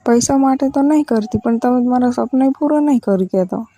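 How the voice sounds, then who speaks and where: A woman's voice: on the high side, a little fast, mellow, dry recording, soft background music. A woman with a Gujarati accent playing a demanding village in-law who wheedles insistently in a casual phone conversation. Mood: sulky, demanding.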